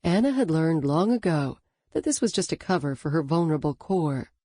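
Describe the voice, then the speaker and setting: A woman reading a novel as an audiobook narrator in a calm, even storytelling manner. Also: low-pitched, brisk, breathy edge, dry recording, clean studio sound. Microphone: studio microphone.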